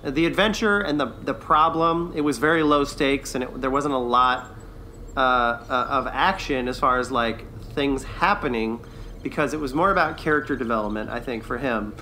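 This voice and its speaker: A man's voice: mid-range voice, normal speed, sharp tone, slight background noise. A man co-hosting a review podcast, chatting casually and enthusiastically in an informal way. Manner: informal, enthusiastic, laughs often. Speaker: podcast co-host reviewing a TV series. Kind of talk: casual chat, review discussion.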